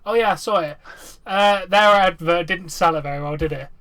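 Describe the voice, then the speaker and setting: Calm, matter-of-fact man. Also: high-pitched, brisk. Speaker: podcast host. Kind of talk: casual chat.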